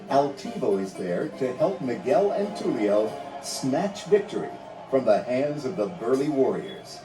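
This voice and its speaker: A man narrating a scripted storybook read-along in a measured, dramatic storytelling manner. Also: mid-range voice, echoey room, distant mic, rough recording.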